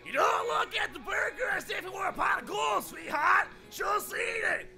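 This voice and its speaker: A man playing a loud, bossy, folksy old man who hollers and scolds in an audio drama. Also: very high-pitched, normal speed, gravelly, soft background music, some chatter behind, heavily acted. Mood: agitated, hollering. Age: older.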